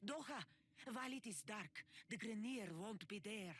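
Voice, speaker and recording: An actress playing a grieving middle-aged woman settler in a game, speaking softly and reflectively. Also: mid-range voice, expressive, normal speed, breathy edge. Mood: wistful.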